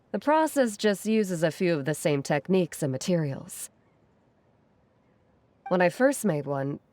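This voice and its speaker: A woman playing a gentle, caring, modest retired artisan in a video game, soft-spoken and measured. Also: deep voice. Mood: modest, warm.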